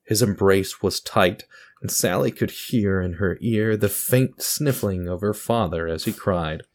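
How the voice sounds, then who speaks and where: A man's voice: lowish voice, unhurried. A man reading scripted, dramatic story narration as the narrator and host of an audio drama. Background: music.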